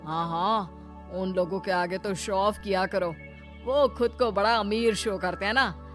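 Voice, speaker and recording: A woman's voice: mid-range voice, unhurried, faint music behind, natural voice. A woman voicing a grumbling, disdainful husband in a family story. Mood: sardonic. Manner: brusque, disdainful. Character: grumbling, disapproving husband of a well-off household.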